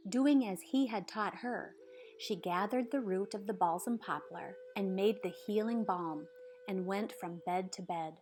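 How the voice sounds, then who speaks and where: A woman reading a children's picture book aloud in a measured, dramatic storytelling manner. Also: medium pitch, a little mellow. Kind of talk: expressive scripted storybook narration.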